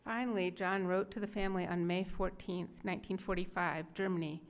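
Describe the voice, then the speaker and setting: A woman's voice: on the low side, fairly even intonation, fairly quick. A middle-aged woman reading scripted historical narration at a podium microphone. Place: museum gallery, indoors.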